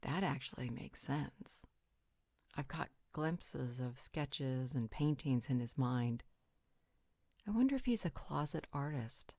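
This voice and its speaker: A woman plays a sassy yet caring female pack member in an audio roleplay. Her voice sits low, and the sound is close and dry. She moves between teasing and reassurance. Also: darkish tone, dry recording, exaggerated voice. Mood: thoughtful. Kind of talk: roleplay audio drama acting.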